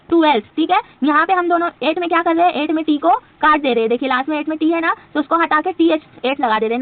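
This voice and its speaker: Quick high-voiced woman teaching English. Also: phone-quality sound. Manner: repeats quiz questions and answers them herself; drawls English example sentences slowly. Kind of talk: spoken English lesson for Hindi speakers.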